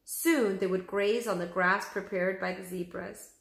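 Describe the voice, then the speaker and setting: A woman's voice: mid-range voice, steady tone, unhurried, vocal fry, fairly bright tone. A woman teacher reading a children's story aloud expressively, addressing young pupils. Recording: echoey room.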